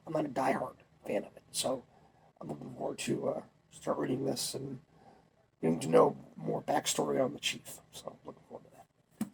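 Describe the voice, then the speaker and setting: A man's voice: medium pitch, gravelly, slight background noise, low-quality recording. A young man talking casually, a little congested while he gets over a cold, rambling with fillers and restarts. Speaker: vlogger. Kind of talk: casual chat.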